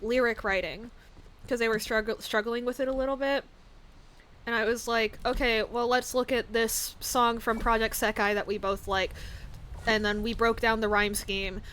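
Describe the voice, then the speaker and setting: A woman's voice: fairly high pitch, bright, forceful tone, slight background noise. A woman streaming a game, rambling casually with many fillers and giggles, with a slight lisp. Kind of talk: casual chat while gaming.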